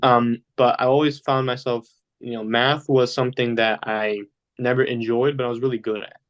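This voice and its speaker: A man's voice: mid-range voice, flat delivery, moderate pace, dull audio. A young man who grew up speaking Polish at home, talking casually as a podcast guest and rambling with many fillers. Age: young adult. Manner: rambling, lots of fillers like "you know". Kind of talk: casual interview talk.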